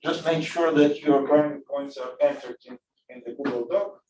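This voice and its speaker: A man's voice: medium pitch, steady tone, a little mellow. Man lecturing in an echoey classroom. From Bulgaria. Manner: halting, many fillers and restarts. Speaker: physics teacher. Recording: echoey classroom, distant mic.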